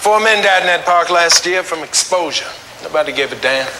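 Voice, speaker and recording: A man's voice: a little high, expressive, fairly quick. A man playing a wary, sardonic shelter administrator in his fifties, with clipped street talk, in a radio drama. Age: 50s. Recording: clear noise behind the voice.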